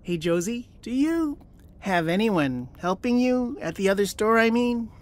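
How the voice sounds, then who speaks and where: A woman acting in an audio drama as a gentle, eager friend, speaking in an earnest, tentative way. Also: on the high side, lively intonation, measured pace.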